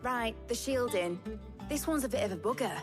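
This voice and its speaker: Woman acting brash young British engineer, animated, high-pitched. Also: close to an everyday voice. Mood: businesslike, stumped. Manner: brisk, colloquial, confident. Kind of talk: video game voice acting. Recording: loud music behind the voice.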